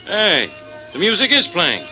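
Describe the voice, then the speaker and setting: A man playing an irritable, gruff police inspector in a 1940s radio detective drama. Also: high voice, wildly swooping pitch, measured pace, pushed and bright, soft background music, exaggerated voice. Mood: brusque, probing. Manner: brusque, blustering. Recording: muffled, hissy 1940s radio broadcast.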